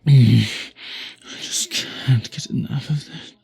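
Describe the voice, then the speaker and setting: A man playing a charming, flirtatious, predatory vampire in an ASMR roleplay, murmuring intimately and teasingly with a breathy, husky voice. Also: mid-range voice, slow pace, darkish tone, full character voice. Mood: hungry, breathless.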